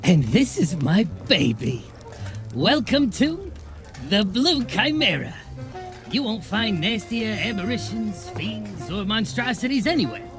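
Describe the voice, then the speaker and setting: An actor playing a wry, slangy goblin bartender in a noir audio drama, familiar and streetwise in manner. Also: male voice, high voice, big pitch swings, measured pace, full character voice. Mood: proud showman. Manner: slangy, familiar, calling people kid. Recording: music playing behind the voice. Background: music.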